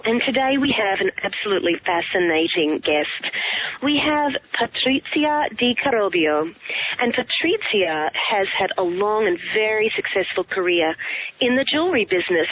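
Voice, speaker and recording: A woman's voice: medium pitch, animated, normal speed, husky. Upbeat woman hosting over a tinny line. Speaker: podcast host. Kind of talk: podcast interview. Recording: tinny phone-line audio.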